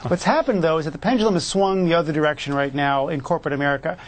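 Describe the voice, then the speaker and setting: Fast-talking high-voiced male panelist. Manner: rapid, chatty, laughs between points. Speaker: market panelist. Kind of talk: TV panel commentary.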